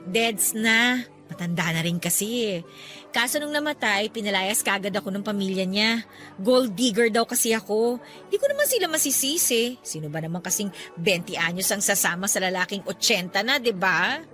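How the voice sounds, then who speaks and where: A woman's voice: medium pitch, expressive, moderate pace, fairly bright tone, faint music behind, natural voice. A woman playing a playful, chatty young businesswoman meeting an old flame in an audio drama, with a teasing, exclaiming manner. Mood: frank, breezy. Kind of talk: Filipino audio drama acting.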